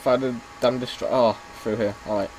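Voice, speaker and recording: A man commentating casually on his own gameplay, slightly hoarse as he is losing his voice, muttering reactions as he plays. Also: medium pitch, steady tone, brisk, darkish tone, slight background noise, low-quality recording. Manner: chatty, mutters reactions while playing. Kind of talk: casual let's-play commentary.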